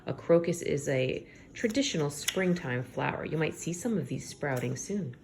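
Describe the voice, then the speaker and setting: A woman with a slightly low voice reads aloud as an elementary teacher would to young children. She is expressive and stops to explain things in simple words, checking that listeners follow. There is noticeable background noise in the recording. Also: normal speed. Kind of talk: read-aloud lesson for young children, reading with commentary.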